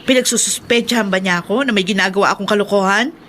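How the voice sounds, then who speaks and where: A woman's voice: mid-range voice, fast, a bit bright, a little hiss or hum, close to an everyday voice. A woman playing a hurt, defiant young wife, emotional and indignant, in a radio drama. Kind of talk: Tagalog radio drama acting.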